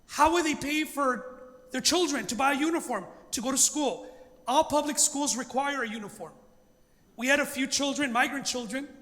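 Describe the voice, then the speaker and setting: Guy pastor from los angeles giving an earnest talk, bright voice, long reverb like a big hall. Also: very high voice. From Los Angeles, United States. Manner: earnest, emphatic, with rhetorical questions. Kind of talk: informal public presentation.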